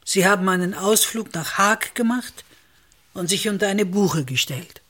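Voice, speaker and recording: An actor playing a taciturn, deferential village innkeeper in a radio play, matter-of-fact. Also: male voice, sits high, sharp tone, breathy edge, full character voice. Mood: subdued, matter-of-fact. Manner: laconic, slow, matter-of-fact.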